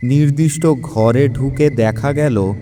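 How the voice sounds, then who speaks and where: A man narrating a scripted story in a measured, literary manner. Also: mid-range voice, dark tone, a little hiss or hum. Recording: long reverb, music behind.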